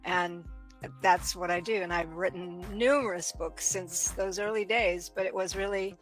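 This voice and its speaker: A woman with a somewhat low voice answers interview questions as a forensic psychology professor. She explains her work in a clear, matter-of-fact manner, with faint music in the background. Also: fairly even intonation, normal speed, low-quality recording.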